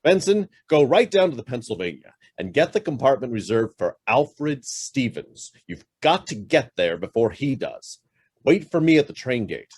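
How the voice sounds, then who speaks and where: A man's voice: mid-range voice, big pitch swings, moderate pace, darkish tone, husky. An actor playing a curt, demanding middle-aged businessman who is suspicious and hiding something, in a radio drama. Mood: brisk, commanding. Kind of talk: stage-style radio drama acting.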